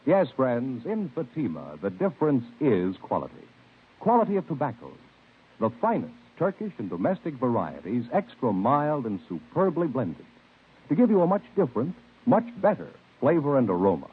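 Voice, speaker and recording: Husky, lively American radio pitchman. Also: normal speed. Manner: emphatic, salesmanlike. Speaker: commercial announcer. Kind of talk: 1950s radio cigarette ad pitch. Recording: muffled vintage radio broadcast.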